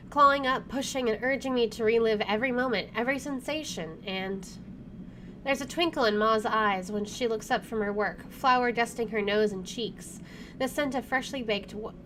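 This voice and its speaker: A woman with a high-pitched voice plays through a game and talks as she goes. She reads the text aloud with expressive drama, and there is faint noise and game sound behind her. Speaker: video game streamer. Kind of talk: let's play commentary and reading game text aloud. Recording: background noise and game ambience.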